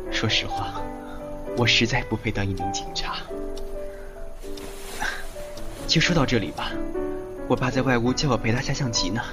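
A man playing a lovesick undercover policeman in an audio drama, confessing softly and hesitantly in an intimate tone. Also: medium pitch, natural voice. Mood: wistful. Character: lovesick male undercover policeman confessing on tape, tender, guilty. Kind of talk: Chinese amateur audio drama monologue. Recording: voice under loud background music. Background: loud music.

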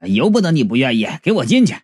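A man's voice: medium pitch, big pitch swings, fast, a little mellow, breathy edge, voice pushed down. A man playing a blustering man who scolds like an indignant elder in an audiobook, with hectoring questions. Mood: bossy.

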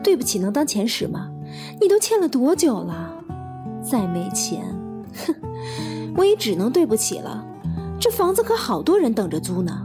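A scornful, money-minded landlady acted by a woman in a radio drama. Her highish voice swoops up and down as she scolds with sarcasm, guitar playing behind her. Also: wildly swooping pitch, normal speed, pauses often.

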